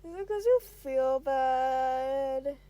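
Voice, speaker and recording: A woman's voice: high-pitched, lively intonation, drawn-out words, mellow, exaggerated voice. A woman voicing a self-doubting, dramatic young male student in a story, with emotional, stammering complaints. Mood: frustrated, guilty.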